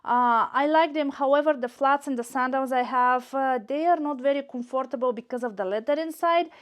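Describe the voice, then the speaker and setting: A woman from Bulgaria with a high voice and a Bulgarian accent speaks as a shopping vlogger. She chats casually to her viewers in a gushing way, often doubling up words for emphasis. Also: fairly quick. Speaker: YouTube shopping and unboxing vlogger. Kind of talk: casual chat to camera.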